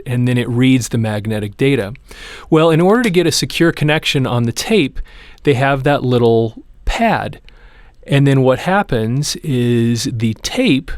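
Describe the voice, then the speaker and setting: A man's voice: mid-range voice, no echo. A man presenting a hands-on repair tutorial, explaining each step in an informal, plain-spoken way. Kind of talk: casual hands-on how-to demonstration.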